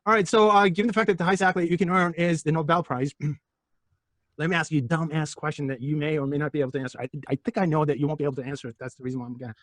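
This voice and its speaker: Fast-talking, joking man from Turkey. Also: fairly high pitch, fairly even intonation, a bit bright, no echo. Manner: joking, provocative, rhetorical questions. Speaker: physics lecturer. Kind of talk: classroom lecture with questions to students. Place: classroom.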